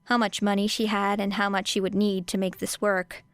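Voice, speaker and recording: Clear-voiced woman narrating fiction. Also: mid-range voice, flat delivery, normal speed, no room sound. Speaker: audiobook reader.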